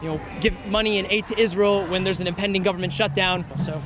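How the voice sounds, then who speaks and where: A man answering interview questions in a measured way, pausing to find his words. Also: high-pitched, fairly even intonation. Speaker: protester being interviewed. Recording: noisy outdoor street with traffic.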